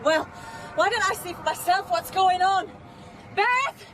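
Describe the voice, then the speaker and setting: A woman's voice: extremely high pitch, a little slow, a bit bright, a little hiss or hum. An actress playing a stubborn, emotional mother in a radio drama, exclaiming in distress and pleading. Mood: determined.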